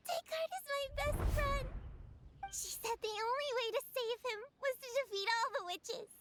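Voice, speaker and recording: Stubborn, emotional young girl, anime game style, very high and animated. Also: unhurried, a little hiss or hum, exaggerated voice. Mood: sobbing. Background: game music.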